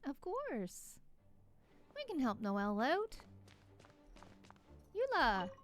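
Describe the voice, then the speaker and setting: Playful, high-pitched woman streamer. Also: wildly swooping pitch, very slow, faint music behind. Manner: playful, chatty. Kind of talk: casual gameplay commentary.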